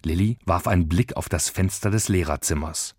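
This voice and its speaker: A man's voice: low voice, normal speed, darkish tone, slightly rough, no room sound, clean studio sound. A man reading scripted narration for a children's story. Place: dry studio.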